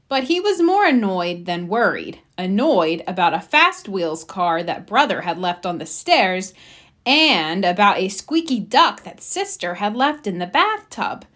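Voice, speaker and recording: A woman reading a children's storybook aloud, with expressive, emphatic narration for young listeners. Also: medium pitch. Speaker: storybook reader.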